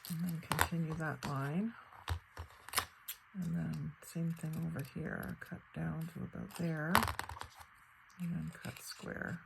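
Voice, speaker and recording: A woman with a slightly hoarse, crackly voice, thinking aloud in a casual crafting tutorial at her table. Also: low voice, fairly even intonation. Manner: thinks aloud while working, unhurried and chatty. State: slightly hoarse, fighting off a cold. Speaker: craft channel host.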